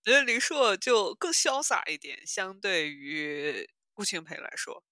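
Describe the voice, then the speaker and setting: A bright, forceful-sounding woman recorded very dry. She chats casually as a podcast co-host, at an average pitch for a woman. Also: sing-song, a little slow, no echo. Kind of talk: casual chat about audio dramas.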